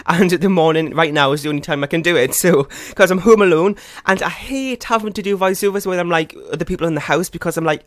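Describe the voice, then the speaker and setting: A young man with a croaky morning voice, rambling casually and quickly with lots of fillers as he narrates a game build from his bedroom at home. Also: fairly high pitch, fairly bright tone, no echo. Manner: fast, rambling talk with many fillers.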